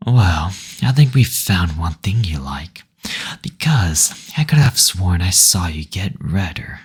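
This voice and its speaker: A man playing a teasing, gentle male demon in an intimate roleplay audio, speaking softly and breathily, often near a whisper, in a tender, unhurried manner. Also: sits low, expressive, breathy edge, full character voice. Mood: playful teasing.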